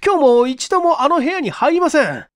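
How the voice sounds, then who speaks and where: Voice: high-pitched, sing-song, moderate pace, dry recording, clean studio sound, pitched above the performer's own voice. A performer voicing a nervous, cornered male clerk in an audiobook, stammering and flustered as he pleads innocence. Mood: flustered protest.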